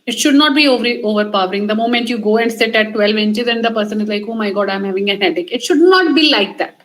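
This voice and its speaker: A woman's voice: mid-range voice, quick pace, fairly bright tone, low-quality recording. A woman with an Indian accent giving an instructional talk as a trainer, emphatic, repeating her points in short directives. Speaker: communication trainer giving a lecture. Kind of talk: instructional talk on interview skills.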